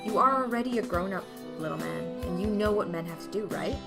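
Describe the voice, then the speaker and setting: A woman voicing a kindly father in a visual novel, gently instructive and lightly teasing toward his young son. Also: mid-range voice, lively intonation, a little fast, gravelly, loud music behind the voice. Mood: gentle, instructive.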